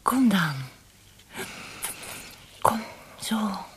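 A woman acting a seductive lover in a radio drama, coaxing in a breathy, whispery voice. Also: medium pitch, slight background noise. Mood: seductive, urging. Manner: slow, coaxing.

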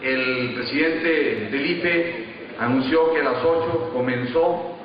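A man's voice: medium pitch, slow pace. A man making a public announcement. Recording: echoey hall, distant, dull, noisy.

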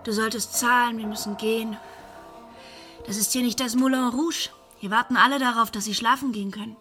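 Radio play: young Parisian woman of the night, slightly high voice, wry, wary, casual teasing delivery, music underneath. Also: steady tone, brisk, bright, forceful tone. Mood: weary, matter-of-fact. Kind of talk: German radio play acting.

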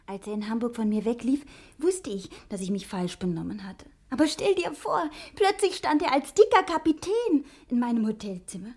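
An actress playing a devoted young wife in a German radio drama, earnest and emotional, with a breathy voice. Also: female voice, fairly high pitch, big pitch swings, moderate pace, hardly any pauses, darkish tone. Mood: confiding. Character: distressed, devoted young wife seeking her husband. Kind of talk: 1960s German radio play acting.

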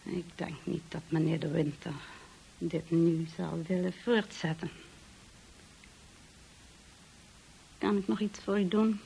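An actress playing a stern, icily formal housekeeper of a grand manor in a radio drama, stiff and coolly deferential. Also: female voice, fairly low pitch, steady tone, moderate pace, creaky, mellow, slight background noise, rough recording. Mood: cold, formal.

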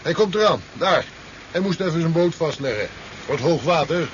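An actor playing a blunt, skeptical local sheriff in a 1970s radio drama, dry and matter-of-fact. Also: male voice, a little high, lively intonation, moderate pace, lightly acted. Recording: dull, noisy 1970s radio recording.

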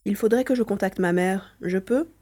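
An earnest young boy, voiced by a woman, speaks with a mellow, rounded tone. The manner is caring and a little worried, in the style of an audio drama. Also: medium pitch, normal speed, natural voice. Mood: reassuring. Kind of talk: French audio drama acting.

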